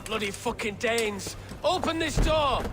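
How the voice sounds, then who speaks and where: Hostile king's soldier, very high strained shout, loud music over him. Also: animated, measured pace. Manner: shouting, menacing. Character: aggressive Saxon soldier, king's man hunting Danes. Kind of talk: video game cutscene acting. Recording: distant voice under loud music.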